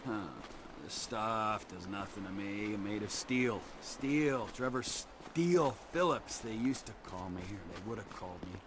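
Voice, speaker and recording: A messed up middle aged game outlaw, self hating, slurry and unstable, pitch all over the place, noisy background. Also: male voice, lively intonation, unhurried. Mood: dazed rambling. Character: unhinged, self-loathing middle-aged male gasoline-huffing outlaw. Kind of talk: video game cutscene acting. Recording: noisy game ambience behind voice.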